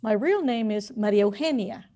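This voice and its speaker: A woman's voice: medium pitch, lively intonation, moderate pace, dark tone. A woman from Cuba, an attendee at an online seminar, sharing a personal story hesitantly, with many fillers.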